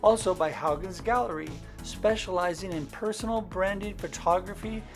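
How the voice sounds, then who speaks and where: A man's voice: sits high, moderate pace, faint music behind. A man hosting a podcast, upbeat and promotional as he introduces his guests. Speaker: podcast host.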